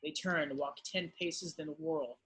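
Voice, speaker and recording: Bright, husky male screenplay narrator. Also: a little high, steady tone, takes it slowly, low-quality recording. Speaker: narrator of stage directions. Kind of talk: scripted dramatic narration.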